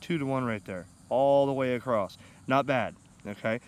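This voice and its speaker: A man's voice: medium pitch, moderate pace. A middle-aged American man chatting informally and enthusiastically in a rambling, unscripted vlog about his hobby gear. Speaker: ham radio hobbyist and video host. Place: outdoors on grass beside a pond under shade trees. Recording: outdoor camera audio with clear ambient noise.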